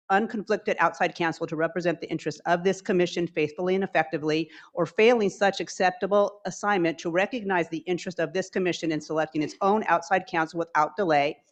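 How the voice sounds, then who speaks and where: A woman with a loud voice, speaking as a public commenter at a meeting and reading a formal resolution aloud at a brisk pace. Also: lowish voice. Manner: self-described loud voice.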